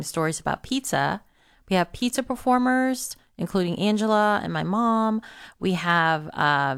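Gravelly-voiced upbeat woman. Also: lowish voice, no echo, clean studio sound. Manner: upbeat, promotional, with many fillers. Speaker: guest event organizer. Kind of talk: casual interview.

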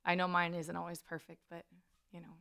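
A woman's voice: lowish voice, monotone, brisk, gravelly, sharp tone, clear, resonant tone. A woman musician who is a guest on a radio show, talking in a friendly, rambling way with a slight grit in her voice. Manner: friendly and rambling, with frequent laughs. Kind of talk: casual interview chat. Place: radio studio.

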